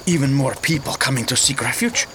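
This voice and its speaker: A man's voice: mid-range voice, animated, brisk, background noise, natural voice. A man playing a worried, curious village headchief in a fantasy audio drama, with a questioning, plain-spoken manner. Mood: weary, burdened.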